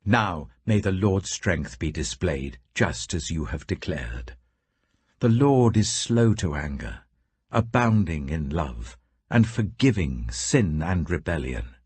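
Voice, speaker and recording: British male narrator as faithful ancient leader, low, impassioned, expressive, scripture audiobook. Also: slow, husky, dry recording, exaggerated voice. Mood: impassioned pleading. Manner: urgent, impassioned. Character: earnest, faithful Israelite leader pleading with conviction.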